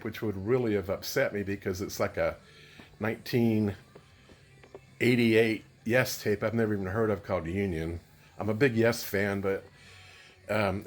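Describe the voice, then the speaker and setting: A man talking casually and unscripted as a vlogger showing gear, rambling and halting as he thinks aloud. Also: medium pitch. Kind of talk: casual unscripted show-and-tell.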